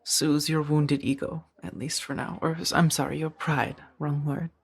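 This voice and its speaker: Soft husky woman doing a gentle jedi girl, kinda hesitant and earnest, bit of creak, asmr roleplay. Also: low-pitched, little pitch movement. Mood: tender, conflicted. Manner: hesitant, halting, breathy murmur with sighs. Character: gentle, earnest young female Jedi, tenderly conflicted. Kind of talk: soft-spoken ASMR roleplay audio drama.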